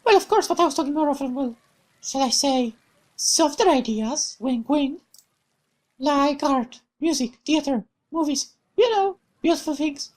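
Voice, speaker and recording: A woman's voice: high-pitched, expressive, unhurried, darkish tone, pitched above the performer's own voice, rough recording. A woman voicing a bubbly, cheerful young woman companion in a visual novel, with a raised, playful, exclamatory voice.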